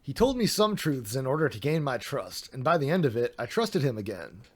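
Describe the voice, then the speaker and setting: A man's voice: mid-range voice, very animated, a little fast. Sarcastic man reading aloud on stream. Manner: sarcastic, mocking asides. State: voice getting a little worn. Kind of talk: reading a document aloud with commentary.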